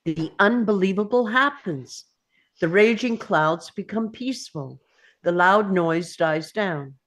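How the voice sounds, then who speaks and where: A woman's voice: mid-range voice, lively intonation, slow pace. A woman narrating scripted stage directions for a screenplay read.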